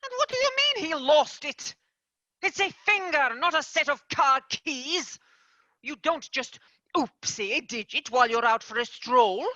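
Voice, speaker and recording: A woman's voice: high-pitched, lively intonation, unhurried, pushed and bright, no room sound, dull audio, heavily acted. A woman playing a stern female fairy company boss in a stage play, weary, exasperated and prone to sighing. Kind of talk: amateur stage-play acting.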